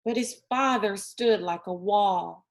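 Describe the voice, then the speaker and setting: A woman narrating a children's novel aloud in a deliberate, expressive storytelling manner. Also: medium pitch.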